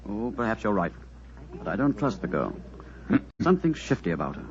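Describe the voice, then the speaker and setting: A man's voice: fairly low pitch, very animated, moderate pace. A man playing a stuffy, suspicious knight, pompous and huffy, in a 1940s radio drama. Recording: dull, lo-fi 1940s radio recording.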